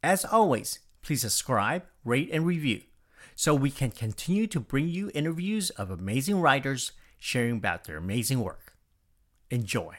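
A man delivers a scripted podcast intro as its announcer. His pitch is average for a man and moves up and down in a lively, animated way. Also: normal speed.

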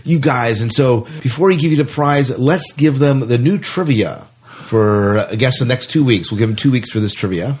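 A man's voice: medium pitch, normal speed, a little mellow, breathy edge. A man hosting a science fiction podcast, talking enthusiastically and rambling a little with fillers. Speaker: podcast host. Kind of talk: casual podcast chat.

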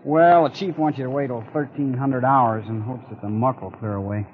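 A man's voice: medium pitch, steady tone, fairly quick, lightly acted. Brash young American serviceman on the ground, played by a man, slangy and needling, muffled vintage radio play with faint noise. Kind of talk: 1940s radio acting. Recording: dull 1940s radio recording, faint noise.

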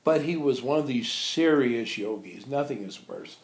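A man's voice: medium pitch, normal speed. Older man storytelling in an echoey room. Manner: animated, acts out quoted dialogue. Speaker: monk and abbot recalling his teacher. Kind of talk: informal storytelling to a small group. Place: echoey room with a few visitors.

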